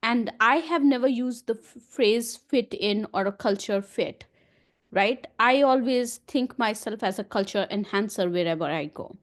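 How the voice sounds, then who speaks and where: A woman's voice: fairly high pitch, unhurried. Confident woman on a panel. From India. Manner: confident, reflective storytelling. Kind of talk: online panel talk. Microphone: video call.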